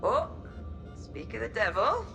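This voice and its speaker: Homesick space crew girl, chatty msg home, really lively pitch, music behind. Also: measured pace, gravelly, exaggerated voice. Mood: chatty, wistful. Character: affectionate, homesick female crew member on a colony ship. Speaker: actor in a video game. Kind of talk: personal message monologue, game acting.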